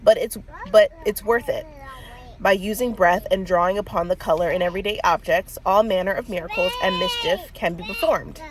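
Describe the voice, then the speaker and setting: A woman's voice: mid-range voice, expressive, normal speed. A woman in her thirties with a stuffy cold, chatting casually on a book vlog, rambling with lots of fillers and little laughs. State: congested with a cold. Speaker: book vlogger. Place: in a car.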